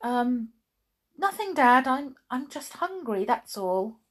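A woman's voice: mid-range voice, wildly swooping pitch, takes it slowly, gravelly, husky, voice pushed up, full character voice. A woman voicing a curious, wary young girl with a pointed streak in a children's storybook. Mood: nervous.

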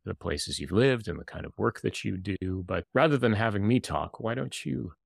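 A man hosting a podcast about living in new cultures, speaking in an earnest, measured way. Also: lowish voice, animated, vocal fry, no room sound, studio quality. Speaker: podcast host and intercultural coach. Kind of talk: podcast interview.

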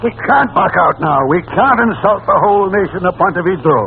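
An actor playing a scheming, excitable royal minister in an old-time radio comedy, on a noisy vintage recording. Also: male voice, high-pitched, a little fast, narrow, tinny audio. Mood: flustered, insistent.

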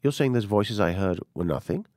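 A man's voice: on the low side, animated, moderate pace, darkish tone, no echo, clean studio sound, natural voice. A man voicing a suspicious, stiffly polite husband from a short story, with a pointed, dryly sarcastic manner.

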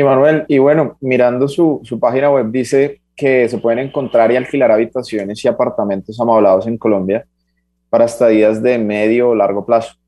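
Co-host, man, mellow voice, dry close sound, measured. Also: medium pitch, dry recording. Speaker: radio co-host. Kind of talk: interview question.

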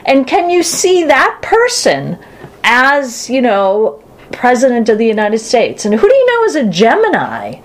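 A woman's voice: a little high, huge pitch swings, measured pace. A woman from Boston with a Boston accent, co-hosting a podcast in casual chat and talking in a chatty way. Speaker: podcast co-host.

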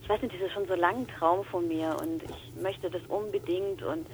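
A young woman from Franconia with a Franconian accent softened by Swabian traces, a guest voice actor chatting casually and eagerly, often laughing. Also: lowish voice, steady tone, moderate pace, a little hiss or hum, low-quality recording. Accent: Franconian German with Swabian traces. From Franconia, Germany. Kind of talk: casual chat.

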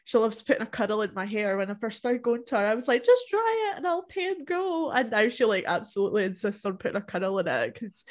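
A woman co-hosting a podcast in relaxed, self-mocking chat, laughing often. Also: on the high side, brisk, few pauses. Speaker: podcast co-host. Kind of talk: casual chat.

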